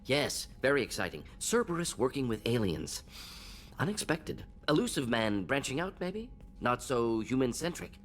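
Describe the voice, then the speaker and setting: Clipped, animated alien scientist. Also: male voice, medium pitch, normal speed. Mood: intrigued, musing. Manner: clipped telegraphic phrases, dropping words. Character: fast-talking clever older alien salarian scientist, brisk and pragmatic. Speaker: doctor, professor. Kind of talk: video game voice acting.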